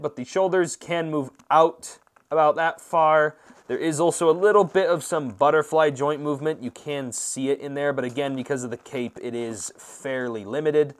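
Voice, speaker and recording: Male reviewer, slightly high voice, casual and conversational, stressing points as he walks through a product. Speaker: host of an action figure review channel.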